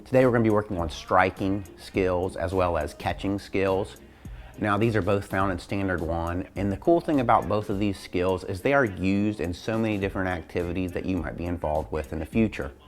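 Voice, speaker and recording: A man's voice: low-pitched, fairly quick, faint music behind. A man speaking as a teacher and host, explaining an activity to students in an instructional video. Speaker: physical education teacher hosting a lesson video.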